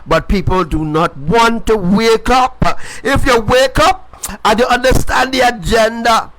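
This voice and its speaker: Very high-pitched man preaching emphatically. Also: a little slow, a bit bright, a little hiss or hum, poor audio. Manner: emphatic and repetitive, hammering phrases again and again; addresses viewers directly as folks. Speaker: self-styled preacher. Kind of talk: live-streamed sermon.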